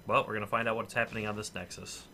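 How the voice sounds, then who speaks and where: A man's voice: on the low side, level tone, racing, vocal fry, sharp tone. A man chatting casually as a commentator over a video game. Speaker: gaming commentator. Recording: faint game noise behind.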